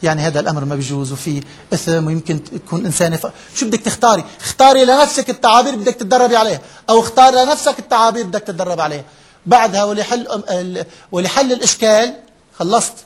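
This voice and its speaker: Fast husky man lecturing. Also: high voice. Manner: explains step by step, checks in with listeners often; drops English terms into his speech. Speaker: workshop trainer. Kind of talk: interactive lecture to a live group.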